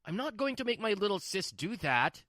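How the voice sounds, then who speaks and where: A woman's voice: medium pitch, very animated, moderate pace, a bit bright, no echo, clean studio sound. A woman voicing a stubborn, brusque husband who dotes on his little sister, in a scripted manga dub. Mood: dismissive. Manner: brusque, defensive.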